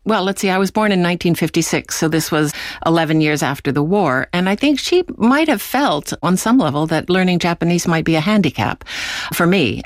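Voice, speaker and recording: A woman in her sixties from the United States, answering interview questions in a thoughtful, measured way. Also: deep voice, dry recording, clean studio sound. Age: 60s. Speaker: interviewee, author.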